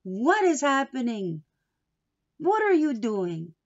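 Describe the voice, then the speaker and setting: A woman voicing an exasperated, scolding grandmother in a children's storybook, with stern, emphatic, drawn-out words. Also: fairly high pitch, sing-song, muffled recording, pitched above the performer's own voice, full character voice. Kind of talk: children's storybook read-aloud.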